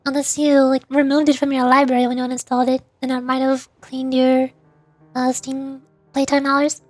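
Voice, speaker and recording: High-voiced chatty woman streamer. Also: completely flat delivery, normal speed, no echo, faint music behind. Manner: rambling, chatty, answers viewers' questions. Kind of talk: casual livestream chat.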